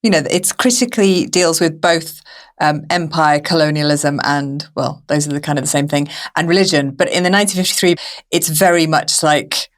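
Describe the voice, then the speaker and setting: Woman, podcast host, somewhat low pitch, informal, loosely phrased, conversational interview style. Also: moderate pace. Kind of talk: casual interview discussion.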